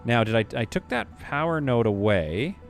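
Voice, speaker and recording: A man's voice: mid-range voice, faint music behind. A man streaming a game live, chatting animatedly with his viewers and laughing often. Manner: animated, chatty, quick to laugh, reads chat aloud.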